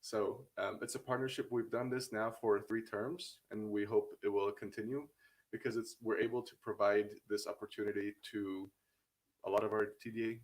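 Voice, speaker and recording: A low-voiced man hosting a casual panel. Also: fairly even intonation. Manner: halting, with frequent hesitations and restarts. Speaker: host, academy representative.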